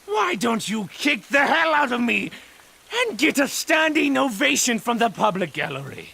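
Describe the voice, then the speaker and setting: An actor playing a manic, theatrical supervillain clown in a comic dub, unhinged and swinging between shouts and mutters. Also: male voice, extremely high pitch, very animated, normal speed, creaky, slightly rough, full character voice. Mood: bitter, goading. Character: manic, theatrical middle-aged male supervillain clown madman.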